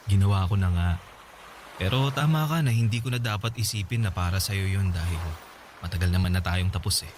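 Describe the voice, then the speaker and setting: A man playing a mildly hurt but forgiving former boyfriend in a Tagalog radio drama, talking in a calm, reflective, conversational way. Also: deep voice, fairly quick, background noise, close to an everyday voice. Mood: reflective, earnest. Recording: music bed behind the voice.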